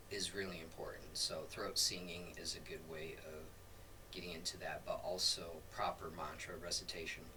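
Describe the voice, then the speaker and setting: A middle-aged man giving an informal, rambling off-the-cuff lesson as a spiritual teacher, often checking in with "right?". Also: low voice. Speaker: spiritual teacher demonstrating a voice practice. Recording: distant mic, clearly noisy background.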